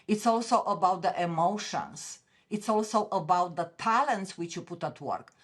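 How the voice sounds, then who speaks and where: A middle-aged woman with a Romanian accent, talking as a podcast guest in a somewhat low voice. She speaks with earnest passion, often circling back and restarting her phrases mid-thought. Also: moderate pace. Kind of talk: interview conversation.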